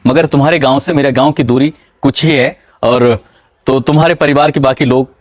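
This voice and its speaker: A man narrates a true-crime story in a measured, dramatic storytelling manner. His voice sits at a middle pitch. The recording is dry and close, with no room sound. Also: fairly even intonation, dry recording.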